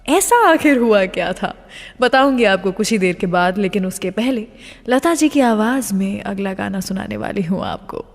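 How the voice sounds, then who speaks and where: A woman's voice: medium pitch, normal speed, creaky. A woman hosting a scripted radio show, speaking straight to her listeners and dropping English phrases into her talk. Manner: addresses listeners directly, teasing what comes next; mixes English phrases into speech. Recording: long reverb on the voice.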